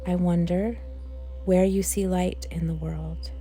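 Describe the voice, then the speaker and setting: A woman leading a guided meditation for worship, speaking in a gentle, reflective manner with unhurried, pausing phrases. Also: lowish voice, level tone, faint music behind. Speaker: worship leader guiding a meditation.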